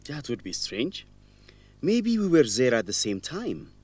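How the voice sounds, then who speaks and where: Guilt-ridden young man, radio drama, halting and earnest, widely varying pitch, emotional. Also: huge pitch swings, breathy edge, no echo, full character voice. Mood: troubled, defensive. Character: guilt-ridden young man, former orphan, confessing a shameful secret. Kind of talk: educational radio drama acting.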